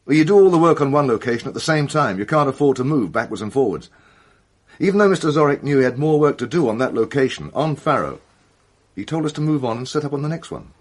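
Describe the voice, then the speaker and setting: A man's voice: medium pitch, animated, fast, close to an everyday voice. An actor playing an evasive but blunt film crew man in a radio drama, hesitant and defensive in colloquial talk.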